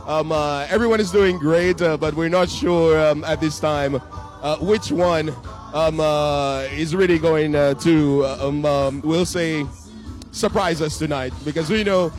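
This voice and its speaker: Casting note: a higher-voiced man answering as an event judge, halting and full of fillers, jokey, with faint music behind. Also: steady tone. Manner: halting, many fillers, playful. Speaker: pageant judge interviewed at an event. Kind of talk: joking on-the-spot interview. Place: live evening event. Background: music playing.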